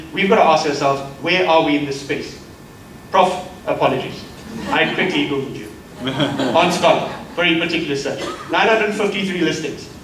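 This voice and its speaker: A man's voice: a little high, fairly bright tone, a little hiss or hum. A middle-aged South African man giving an emphatic keynote lecture, addressing the audience as colleagues. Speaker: keynote speaker. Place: lecture hall. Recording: roomy echo from far mic, faint ambience.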